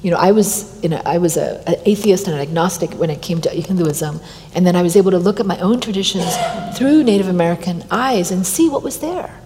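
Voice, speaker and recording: A woman answering on a discussion panel, speaking reflectively and often pausing with "you know". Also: lowish voice, mellow. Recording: long hall reverb, faint noise.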